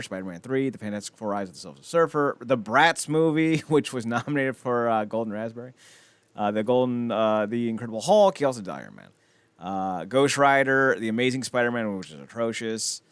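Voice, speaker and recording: A man's voice: mid-range voice, moderate pace, smooth, dry recording. A man hosting a podcast in casual chat about films, rambling along with blunt, wry opinions. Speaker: podcast host.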